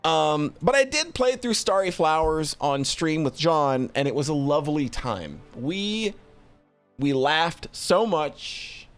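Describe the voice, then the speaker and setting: A man giving casual, unscripted commentary as a streamer, halting often and repeating or correcting words as they go. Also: on the high side, big pitch swings, soft background music. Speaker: streamer reviewing visual novels.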